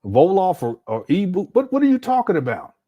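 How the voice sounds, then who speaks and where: A man's voice: high voice, wildly swooping pitch, brisk, lo-fi sound. A man giving casual reaction commentary on a clip, exasperated and laughing, with slangy asides.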